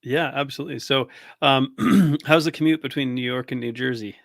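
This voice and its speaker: A man's voice: mid-range voice, moderate pace, creaky, no room sound. Curious male podcast host, close dry sound. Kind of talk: casual interview.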